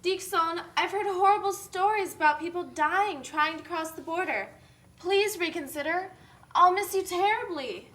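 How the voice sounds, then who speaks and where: A woman's voice: very high voice, moderate pace, a bit bright. A woman playing an anxious, loving mother from a poor family in a puppet show, speaking in a pleading way. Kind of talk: scripted puppet show acting.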